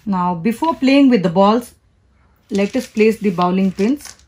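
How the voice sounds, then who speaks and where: Woman, steady instructional toy-review narration, medium pitch. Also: low-quality recording. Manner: slow, careful, instructional. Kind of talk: step-by-step toy unboxing narration. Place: indoors, at a table. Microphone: off-camera voice, hands only in frame.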